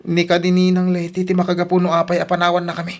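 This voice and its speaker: A man's voice: high voice, completely flat delivery, fast. A man with an Ilocano accent playing a troubled, dutiful young eldest son in a radio drama. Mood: pleading, indignant.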